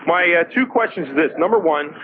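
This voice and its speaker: A man from the audience politely putting questions to a guest on live radio, in a formal, deliberate way. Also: a little high, lively intonation. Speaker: audience member asking questions. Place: live remote broadcast at a public venue. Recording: tinny, noisy 1980s radio recording.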